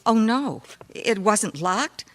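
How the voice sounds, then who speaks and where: A woman's voice: mid-range voice, lively intonation, slow pace, background noise. A woman playing an anxious, skeptical, well-mannered hotel guest in a radio mystery. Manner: worried, questioning.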